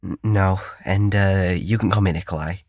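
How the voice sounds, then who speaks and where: A man acts a teenage male psychiatric patient in an audio drama. His voice is notably low, his delivery halting, awkward and unsure, in a dry, close recording. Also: fairly even intonation, a little mellow, dry recording. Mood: nervous, awkward.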